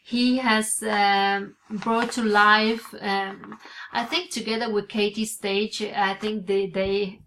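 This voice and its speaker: Italian lady in her 40s vlogging on a webcam from her home office, slow and unhurried, lots of ums and restarts. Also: medium pitch, little pitch movement, fairly bright tone, slight background noise. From Switzerland. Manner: hesitant, many fillers and restarts. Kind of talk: casual vlog to camera. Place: home office with bookshelves, indoors. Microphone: webcam at desk.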